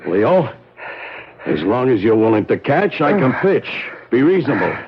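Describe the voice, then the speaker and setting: A gravelly, scheming ex-con who wheedles his old cellmate in a street-tough voice. Heard through a dull, lo-fi vintage radio broadcast, the gritty rasp stays in the low middle. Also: sing-song, moderate pace. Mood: persuasive. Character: scheming male ex-con, wheedling then menacing. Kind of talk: 1970s radio drama acting.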